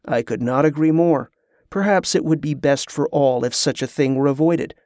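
Cunning seafaring adventurer, played by a male narrator: assured, persuasive, slightly high male voice, dry sound. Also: moderate pace, a little mellow, no echo, natural voice. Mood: calm, persuasive. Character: young male adventurer, confident, cunning, calming.

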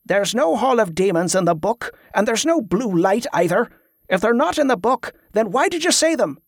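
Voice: medium pitch, moderate pace, no echo, clean studio sound, pitched above the performer's own voice, natural voice. A narrator voicing a frustrated, accusing zealot man in an audiobook, insistent and indignant. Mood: angry, bewildered.

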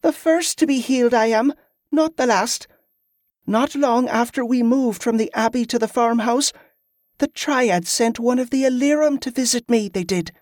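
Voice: medium pitch, moderate pace, a little mellow, no echo, studio quality, voice pushed up, natural voice. A performer voicing a defiant, earnest young farm girl in an audiobook, with fervent rustic phrasing. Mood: fervent, awed.

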